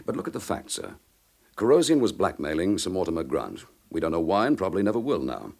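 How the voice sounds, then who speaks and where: A very animated, low-pitched police inspector in a radio crime drama. He is gruff, intense and impatient, driving his lines with clipped determination. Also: quick pace, full character voice. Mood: grim, intense.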